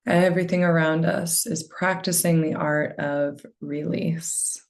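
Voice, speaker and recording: A woman leading a guided meditation with gentle, unhurried phrasing and a soft, soothing delivery. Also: low voice, steady tone, vocal fry.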